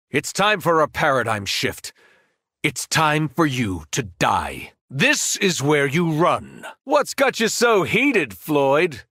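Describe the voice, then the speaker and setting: An actor playing a corrupted superhero turned merciless tyrant in a video game, taunting and commanding with theatrical menace. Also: male voice, on the high side, pushed and bright, dry recording, clean studio sound, full character voice. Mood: cold, merciless. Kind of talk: video game villain voice acting.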